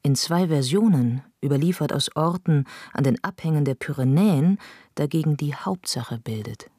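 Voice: medium pitch, lively intonation, normal speed, mellow, slightly rough, dry recording, studio quality. A narrator reading scripted literary prose in a radio play.

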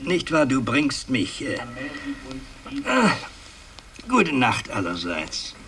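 An actor playing an imperious, scheming old aristocratic patriarch in a radio drama, declamatory and halting, moving between command and muttering. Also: male voice, medium pitch, wildly swooping pitch, a crowd in the background, low-quality recording. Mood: stern, halting. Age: older. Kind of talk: 1960s German radio drama acting.

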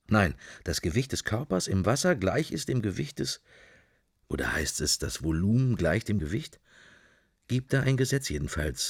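A daydreaming man lost in interior monologue in a radio play. The voice is deep and husky, recorded close and dry, drifting from one fragment of thought to the next. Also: dry recording, full character voice. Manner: fragmentary, drifting, thinking to himself. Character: musing man in Dublin, idly drifting daydreamer.

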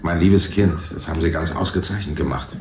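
An actor playing a courtly, patronizing gentleman in a 1970s radio drama. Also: sits low, steady tone, brisk, noisy background, natural voice. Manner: condescending, courtly. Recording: echoey room.

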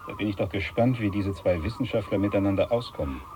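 A man playing a brisk, courteous, commanding naval captain in a German radio play, speaking in clipped, formal phrases. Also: fairly low pitch, background noise, lightly acted. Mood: brisk, genial.

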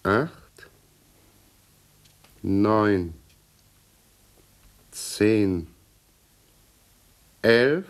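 A man's voice: on the low side, expressive, drawn-out words, pauses often, full character voice. An actor playing a pedantic, self-satisfied middle-aged policeman husband in a radio play, fussy and blustering, repeating himself. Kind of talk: 1960s German radio play acting.

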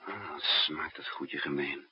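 An actor playing a shrewd, composed male spy who narrates and talks in a measured, wry way in a 1960s radio drama. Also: a bass voice, slightly rough, exaggerated voice. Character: shrewd fugitive male spy, calm and teasing. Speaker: lead character and narrator. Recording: muffled noisy 1960s radio recording.

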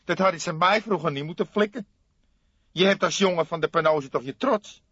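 A man voicing a scrawny, excitable café owner from the underworld in an audiobook, talking emphatic street slang. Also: on the high side, fairly quick, slightly rough, no echo, voice pushed up. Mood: indignant. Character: scrawny indignant small-time underworld café owner, male. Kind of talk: audiobook character acting. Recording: slightly dull, dry studio recording.

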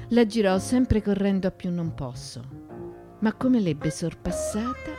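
A woman giving an intense, theatrical literary reading for radio theatre, phrasing deliberately with pauses. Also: fairly low pitch. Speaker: actress reading literary monologue. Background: music.

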